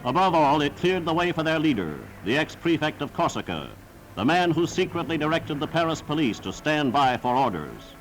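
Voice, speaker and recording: A man's voice: on the high side, sing-song, moderate pace, soft background music, low-quality recording. A man narrating a scripted 1940s wartime newsreel in a solemn, formal, declamatory style, on a vintage film soundtrack.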